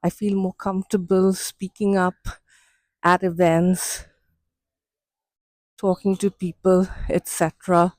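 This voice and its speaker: A woman's voice: lowish voice, flat delivery, darkish tone, no room sound. A woman with an Indian English accent, talking to the camera in a walk-and-talk vlog as she walks along outdoor city streets. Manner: measured, unhurried explaining. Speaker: vlogger and coach.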